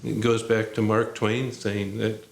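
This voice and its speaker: A man's voice: lowish voice, little pitch movement, measured pace, vocal fry. A man talking in a plainspoken, conversational way in a documentary interview. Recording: roomy echo.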